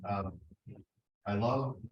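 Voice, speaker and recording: A man's voice: fairly low pitch, very slow. A young American man in his twenties, a graduate student casually introducing himself. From Wisconsin, United States. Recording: distant, echoey, muffled room pickup.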